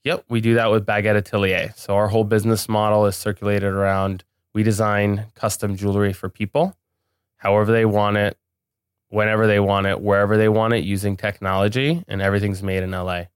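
Male interview guest, clear resonant tone on the low side, informal and enthusiastic delivery. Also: little pitch movement, a little fast, dry recording, studio quality. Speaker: podcast guest, business owner. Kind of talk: casual interview chat.

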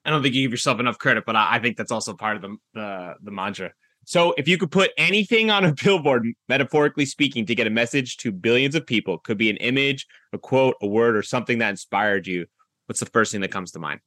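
A man hosts an interview show in a conversational way. His voice is mid-range and notably bright, with a crisp edge of energy. It is recorded dry and close. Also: mid-range voice, animated, fast, no room sound. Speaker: podcast host.

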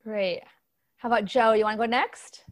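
A woman's voice: fairly high pitch, fairly even intonation, normal speed. A woman in her thirties hosting a podcast and moderating a panel, upbeat and enthusiastic. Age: 30s.

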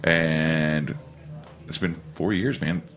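A man's voice: very deep voice, fairly even intonation, very slow, fairly bright tone, a little hiss or hum. A man co-hosting a podcast in a laid-back casual way, often saying "man" and hesitating with uhs. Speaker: podcast co-host. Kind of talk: casual interview chat.

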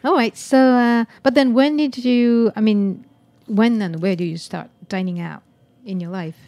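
A middle-aged woman hosts a radio interview. Her voice is soft and mellow, and she pauses often on little fillers as she goes. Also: on the high side, steady tone, no room sound. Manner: soft-spoken, hesitant with many fillers. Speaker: podcast host.

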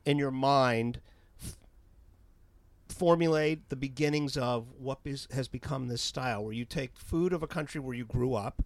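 A man's voice: mid-range voice, moderate pace, no room sound. A man interviewing a chef onstage, asking long, winding questions with many restarts.

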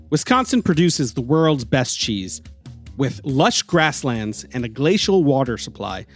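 Guy reading a sponsor spot, animated delivery, soft guitar bed underneath. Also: fairly high pitch. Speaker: sponsor announcer. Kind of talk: scripted ad read. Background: faint guitar music.

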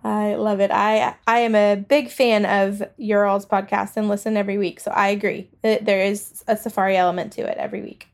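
Creaky-voiced American woman guest. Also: mid-range voice, level tone, a little fast, few pauses. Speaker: podcast guest. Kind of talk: casual chat.

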